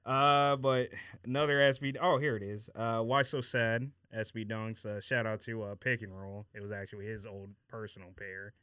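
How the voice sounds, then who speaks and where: A man's voice: fairly low pitch, steady tone, normal speed, few pauses, pushed and bright, clean tone, no echo. A man chatting casually as an in-studio podcast guest, easygoing and a little hesitant in his phrasing. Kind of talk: casual chat. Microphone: podcast studio microphone.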